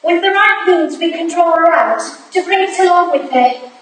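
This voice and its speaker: A woman's voice: extremely high pitch, normal speed. A woman playing an earnest young Victorian protégée, emphatic and pleading, in a staged drama reading. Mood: resolved. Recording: very echoey hall from far off, faint noise.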